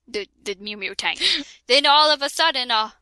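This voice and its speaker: A woman's voice: a little high, normal speed, sharp tone, smooth, no room sound. A woman reading a parody fan fiction aloud with a friend, giggling and breaking into laughter and sarcastic asides. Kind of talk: comedic dramatic reading with a friend.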